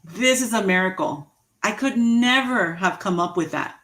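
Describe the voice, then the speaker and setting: A woman in her forties giving an inspirational talk, slow and emphatic, repeating key phrases and laughing at her own jokes. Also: medium pitch, fairly bright tone. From Mexican heritage, raised in the US. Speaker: motivational speaker. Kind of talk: inspirational speech.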